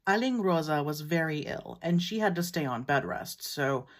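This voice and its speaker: A Filipino American woman who tells a folktale in a relaxed, matter-of-fact way. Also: low-pitched, normal speed. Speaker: storyteller. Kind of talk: casual storytelling.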